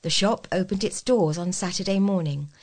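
A woman's voice: lowish voice, normal speed, a little mellow. A woman reading scripted local news stories aloud as a volunteer news reader.

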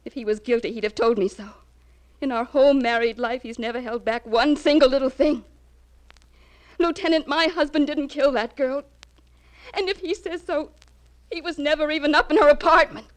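Actress as high-voiced, fiercely loyal wife. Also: female voice, normal speed, natural voice. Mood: insistent, defiant. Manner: insistent, emphatic. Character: fiercely loyal, distressed wife of accused man. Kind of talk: 1950s radio crime drama acting.

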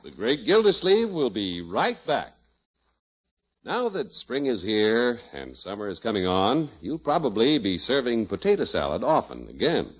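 A man's voice: mid-range voice, big pitch swings, a little slow, husky. An American man announcing from a script in polished 1950s radio style. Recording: muffled old radio broadcast, music behind. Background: music.

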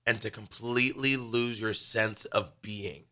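A young man co-hosting a radio show, telling stories in quick casual chat full of "like". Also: lowish voice, steady tone, pushed and bright. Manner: chatty, quick storytelling packed with "like".

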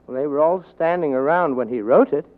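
An actor playing a simple, literal-minded government messenger, folksy and matter-of-fact, in 1940s radio comedy-drama style. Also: male voice, on the high side, brisk, slight background noise, natural voice. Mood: guileless. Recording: tinny, narrow-band 1940s radio recording.

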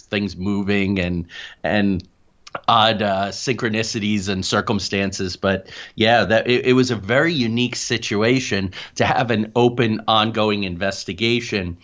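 Male interview guest, lowish voice, earnest and detailed explainer, slightly muffled lo-fi sound. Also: a bit bright. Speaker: interview guest, paranormal investigator. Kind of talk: conversational interview answers, explaining at length.

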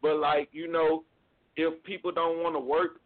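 Man, a label guest, easygoing phone-in talk, a bit high voice, tinny line. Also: level tone, moderate pace. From Mississippi. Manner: laid-back, repeats "you know what I'm saying". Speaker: music label co-owner guest. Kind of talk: casual phone interview.

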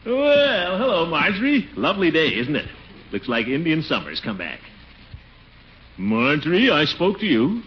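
Blustering uncle, wildly swooping voice. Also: male voice, fairly high pitch, huge pitch swings, a little slow. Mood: jovial. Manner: pompous, exclamatory, chuckling. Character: blustering middle-aged uncle, pompous, nosy, chuckling. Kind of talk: 1940s radio comedy acting. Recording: dull, noisy 1940s radio recording.

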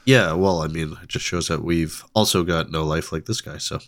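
A man co-hosting a casual film podcast, relaxed and thoughtful, with a stuffed-up nose. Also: rumbling low, normal speed, no echo, clean studio sound. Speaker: podcast co-host.

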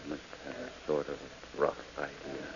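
An actor in an American 1940s radio drama playing a cold, smug husband calmly threatening to kill his wife. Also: very deep voice. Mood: cold menace. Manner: calm, menacing, deliberate. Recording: muffled, noisy old radio recording.

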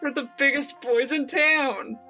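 A woman's voice: high voice, a little slow, bright, forceful tone, faint music behind. A woman co-hosting a casual fan podcast, joking in a playful, mock-grandiose way. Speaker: podcast co-host.

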